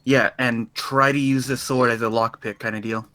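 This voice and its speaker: A man with a bright, tense-edged voice talks casually as a player in a tabletop game. The sound is close and dry, with no room around it. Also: mid-range voice, fairly even intonation, normal speed, no echo.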